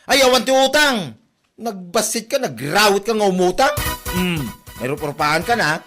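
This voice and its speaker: A man acting a feminine, sharp-tongued store owner with a Filipino accent, nagging a debtor sarcastically in a comedy drama. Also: high-pitched, expressive, moderate pace, over music. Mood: indignant. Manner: sarcastic, nagging, emphatic scolding.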